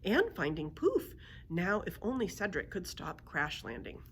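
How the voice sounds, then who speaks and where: A woman's voice: lowish voice, huge pitch swings, unhurried, a bit bright, slight background noise, exaggerated voice. A woman voicing an anxious, clumsy, childlike male griffin from a children's storybook, fretfully repeating short simple phrases. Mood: brightened.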